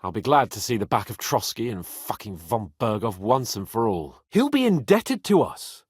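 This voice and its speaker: A man playing a spoiled, cocky young nobleman in a video game, flippant and theatrical, swinging between whiny and wry, with a husky voice. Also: fairly low pitch, sing-song, moderate pace, dry recording, clean studio sound. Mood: earnest. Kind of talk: video game character voice acting.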